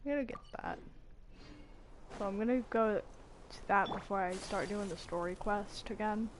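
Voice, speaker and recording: A woman's voice: medium pitch, normal speed, a little hiss or hum. A woman giving casual live commentary as she streams a video game. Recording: game music behind the voice. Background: video game music.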